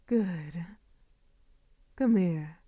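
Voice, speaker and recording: A woman performs a sadistic vampire mistress for an intimate audio roleplay. She speaks extremely slowly in a dark, velvety tone, recorded close and dry. She is possessive and commanding yet mock-tender, often sinking into a breathy whisper. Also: lowish voice, dry recording. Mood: commanding, gloating. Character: sadistic, seductive female vampire, possessive and doting.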